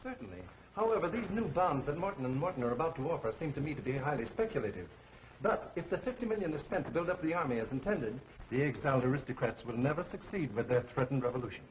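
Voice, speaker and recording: A formal, businesslike 1930s film financier, played by a man, rattling along quickly in a mid-range voice. The old soundtrack is dull, with faint noise. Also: fairly high pitch, expressive, natural voice. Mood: brisk, matter-of-fact. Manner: brisk, formal. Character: businesslike financial informant reciting figures.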